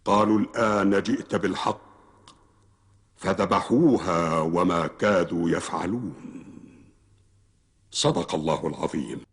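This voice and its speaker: A man chanting a Quran recitation in a melodic, drawn-out tajwid style. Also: deep voice, vocal fry, breathy edge.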